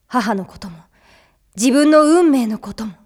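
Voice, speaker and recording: A woman voicing a defiant, hot-headed teenage boy hero in an anime drama, with brash, rough boyish speech. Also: fairly high pitch, animated, unhurried, a little mellow. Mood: solemn narrating. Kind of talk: anime voice acting.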